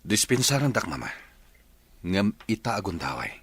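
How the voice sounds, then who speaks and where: A man's voice: on the low side, sing-song, normal speed, fairly bright tone, slightly rough, dry recording, exaggerated voice. An actor with an Ilocano accent playing a stressed grown son in a radio drama who insists and pleads with his mother. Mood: insistent.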